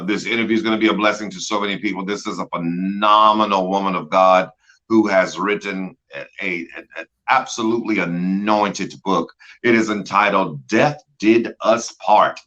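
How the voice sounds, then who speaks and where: A male host running a show with authors, praising guests warmly and often stumbling over repeated words. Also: sits low. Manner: effusive, repeats words, fills with "uh". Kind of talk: enthusiastic author interview. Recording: dull lo-fi sound.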